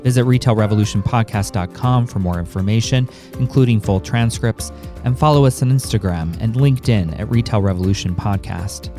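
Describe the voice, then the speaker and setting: A man reading a scripted podcast intro in a steady, formal way. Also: lowish voice, fairly quick. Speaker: podcast announcer. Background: music bed.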